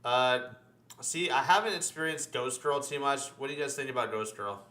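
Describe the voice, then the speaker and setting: A man's voice: mid-range voice, animated, moderate pace, sharp tone. A man chatting casually and emphatically about video game monsters, as a host who swears a lot. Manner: profane, emphatic. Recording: roomy echo.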